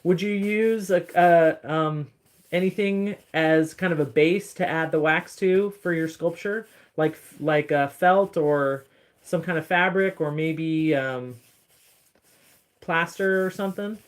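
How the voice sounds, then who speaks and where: Deep-voiced woman, casual livestream chat, rambling, answering comments, phone mic. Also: slow. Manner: chatty, rambling, reading and answering viewer comments. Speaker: encaustic artist streaming live.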